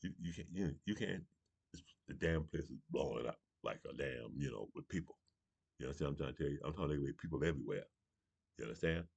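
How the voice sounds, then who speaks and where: A man's voice: a bass voice, lively intonation, quick pace, gravelly, a little mellow, breathy edge, no room sound. A man from Charleston, South Carolina, hosting a morning show with a rambling political monologue, often saying "you know" and laughing between points.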